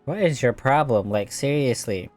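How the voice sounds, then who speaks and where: A woman comments casually on a game. The voice is extremely low for a woman. The delivery is made of short, sassy, dry asides, with game music faintly behind. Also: lively intonation, normal speed, a little mellow. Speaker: gaming video host. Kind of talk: casual let's play commentary.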